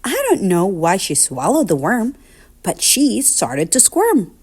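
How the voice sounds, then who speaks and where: A woman reading a children's picture book aloud in an expressive, deliberate, sing-song storytelling manner. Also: medium pitch, slightly rough.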